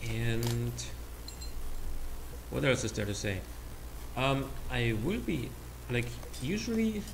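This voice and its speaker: Gravelly Austrian man rambling over gameplay. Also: mid-range voice, big pitch swings, slow pace. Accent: Austrian German. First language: German. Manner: rambling, hesitant, many fillers and restarts. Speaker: let's play host. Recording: noisy home recording.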